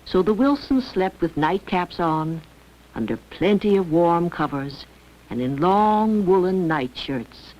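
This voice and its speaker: A woman's voice: fairly low pitch, expressive, slow. A woman reading scripted narration for an educational film. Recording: dull lo-fi old educational film.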